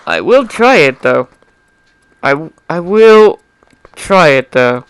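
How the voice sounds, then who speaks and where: A man's voice: on the high side, very animated, slow, smooth, dry recording, full character voice. A man voicing a wry, reluctant human-turned-pony in a storybook reading, with a blunt, grumbling manner. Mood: firm, reluctant.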